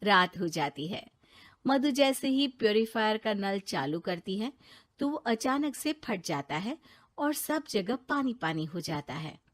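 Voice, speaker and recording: A woman's voice: medium pitch, big pitch swings, unhurried. A woman narrating a scripted children's storybook tale.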